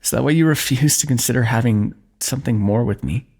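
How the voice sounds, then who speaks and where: A man's voice: mid-range voice, a little fast, creaky, dark tone, breathy edge. A man voicing a protective, lovesick warrior king in a fantasy ASMR roleplay, pleading earnestly with the listener. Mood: pleading, tender.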